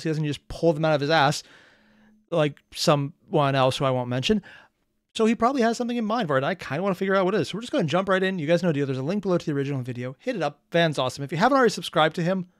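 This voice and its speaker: A man's voice: a little high, expressive, fast, no room sound, studio quality. A man hosting a reaction video with casual, rambling commentary, full of slang and wry asides to viewers.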